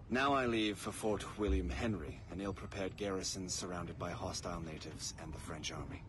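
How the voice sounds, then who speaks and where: An actor playing a dignified, resigned British colonel, narrating a letter formally and solemnly as a game voice-over. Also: male voice, low-pitched. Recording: clear background noise.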